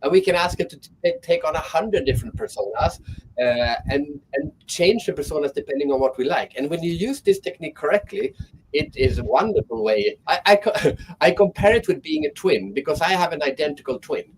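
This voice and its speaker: A man's voice: a little high, lively intonation, brisk, a little hiss or hum, rough recording. A man speaking as a guest on an online panel, talking thoughtfully and often restarting his sentences.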